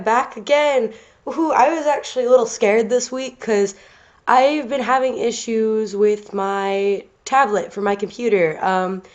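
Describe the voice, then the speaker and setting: A woman with a stuffy nose talking casually and rambling over a drawing video, with lots of fillers and restarts. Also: mid-range voice. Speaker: art channel host. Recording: slightly dull lo-fi home recording.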